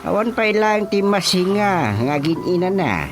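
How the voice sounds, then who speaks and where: A village chairman and folk healer in an Ilocano radio drama. He gives instructions slowly and deliberately, drawing out his hums, in a fairly high male voice. Faint music runs behind him. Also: very animated. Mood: instructing.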